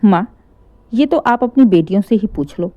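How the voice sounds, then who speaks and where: A woman voicing a calm young adult son who denies the accusations. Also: medium pitch, moderate pace, muffled recording, close to an everyday voice. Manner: calm, even.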